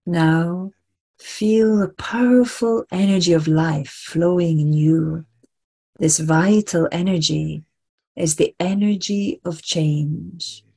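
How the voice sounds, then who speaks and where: A woman guides a meditation at an extremely unhurried pace. Her voice is soft and husky with a mellow, slightly low tone. She is soothing and deliberate, leaving long pauses between repeated phrases. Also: fairly even intonation. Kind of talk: slow guided relaxation meditation.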